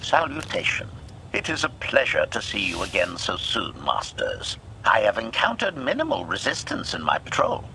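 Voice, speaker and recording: Creaky, servile assassin droid. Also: male voice, fairly low pitch, huge pitch swings, moderate pace, sharp tone, a little hiss or hum, heavily acted, poor audio. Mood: formal, obliging. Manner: formal, announces each statement with one word. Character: servile, coolly lethal assassin droid, male. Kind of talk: video game voice acting.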